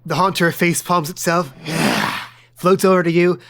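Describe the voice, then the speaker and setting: High-voiced man running a game. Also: little pitch movement, a little slow, a bit bright. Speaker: game master and podcast host. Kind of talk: casual narration of a tabletop game.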